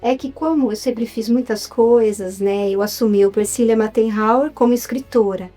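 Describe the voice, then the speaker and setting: This is a woman with a Brazilian Portuguese accent, speaking in a somewhat high voice. She chats animatedly as an interview guest, often tossing in little tag questions. Soft music plays faintly behind her. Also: fairly even intonation, moderate pace. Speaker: guest, writer and actress. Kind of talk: relaxed interview chat.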